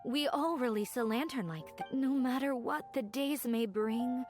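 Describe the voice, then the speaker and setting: A woman voicing a polite, soft-spoken young woman, heard over game music. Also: high voice, unhurried. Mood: warm.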